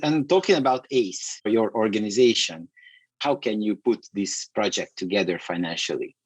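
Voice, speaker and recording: Male interviewer, low husky voice, dry close sound, short questions. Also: normal speed, dry recording, poor audio. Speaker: podcast host. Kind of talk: podcast interview.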